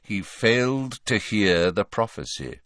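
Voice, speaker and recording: A stern, guarded wizard speaks in a low, flat, measured way, as a male audiobook reader plays him. His delivery is slow and bright in a dry recording. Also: no room sound. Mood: cold. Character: cold stern wizard, man, middle-aged, guarded.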